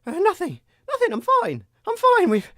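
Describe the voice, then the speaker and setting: A man playing a sickly, melodramatic radio host with a faltering, halting delivery in a comic radio show. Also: extremely high pitch, huge pitch swings, a bit bright, dry recording, clean studio sound, exaggerated voice. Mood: feeble, struggling.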